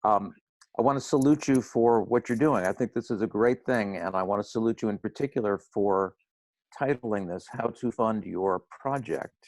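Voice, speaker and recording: An older man with a hoarse voice from laryngitis, giving an earnest, wry talk on a video call. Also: lowish voice, normal speed, darkish tone, no echo, rough recording. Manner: earnest, slightly preachy, wry. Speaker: guest speaker. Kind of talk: roundtable talk.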